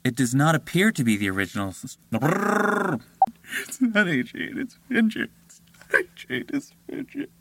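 A man's voice: on the high side, sing-song, measured pace, a bit bright, slight background noise. A male voice actor muttering to himself and chuckling between recording takes. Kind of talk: recording-session outtakes.

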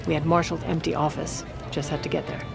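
Low-voiced, commanding wife of a president. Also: female voice, flat delivery, quick pace, creaky, over music, a crowd in the background. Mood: urgent, resolute. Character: Edith, determined scheming wife of a president, narrating and commanding. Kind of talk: audio drama acting with confiding narration.

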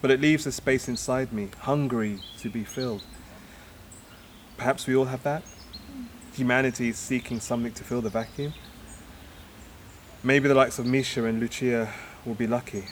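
Wistful idealist played by a man, halting and reflective, naturalistic audio drama, faint outdoor birds and insects. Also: medium pitch, steady tone, slight background noise, natural voice. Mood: reflective, hopeful. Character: wistful departing man, hopeful philosophising idealist, father.

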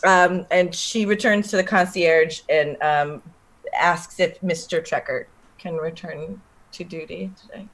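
A woman's voice: on the low side, a bit bright. Woman player narrating her scene, lots of ums, casual. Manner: halting, casual narration with fillers.

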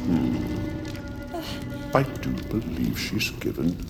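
An ancient, predatory male monster in an audio drama, with an abyssally low voice that swings wildly. He drags his words out with gravelly, mocking menace. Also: very animated, heavily acted. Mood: taunting. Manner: taunting, mocking, drawn-out menace. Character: ancient, selfish, menacing male monster who devours people. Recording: dramatic music behind the voice.

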